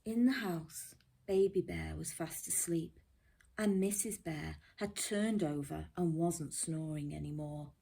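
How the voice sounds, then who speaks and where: A woman teacher reading a children's story aloud expressively, often dropping to a hushed near-whisper and asking her young listeners questions. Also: low voice, unhurried, creaky, slightly rough.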